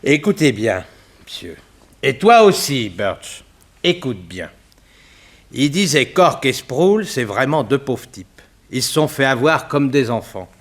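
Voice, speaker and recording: A man's voice: medium pitch, very animated, unhurried. An actor playing a mocking gangster henchman, slangy and taunting, in a 1960s French radio serial. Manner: taunting, slangy, servile to his boss.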